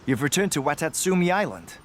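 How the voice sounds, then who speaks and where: A man's voice: fairly high pitch, big pitch swings, fast talker, slight background noise. A man playing a loyal, eager rank-and-file soldier in a video game. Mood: respectful, chatty. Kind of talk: anime-style video game voice acting. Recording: studio voice over game music.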